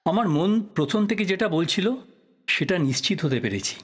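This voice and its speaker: A man playing a thoughtful, polite writer probing a death in an audio drama, speaking in a measured, reflective way. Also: on the high side, big pitch swings. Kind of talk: Bengali audio drama acting.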